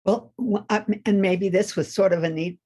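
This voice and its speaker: A woman's voice: mid-range voice, steady tone, normal speed, darkish tone, husky. A woman giving feedback on a story over a video call, thoughtful and often breaking off and restarting. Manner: thoughtful, self-interrupting. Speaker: workshop participant.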